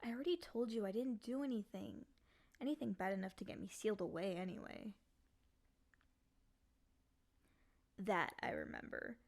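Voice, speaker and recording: A woman voicing a lonely, clingy female demon in a soft, often whispery voice, coaxing and pleading in an intimate ASMR roleplay. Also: medium pitch, a little fast, close to an everyday voice. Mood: defensive.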